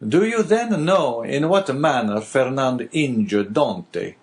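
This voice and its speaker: A composed, formal priest from an audiobook who probes gently as a male reader puts him on. He speaks in a measured, coaxing manner. The pitch moves a good deal. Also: lively intonation. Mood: probing. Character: calm, probing older male priest. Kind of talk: audiobook character voice.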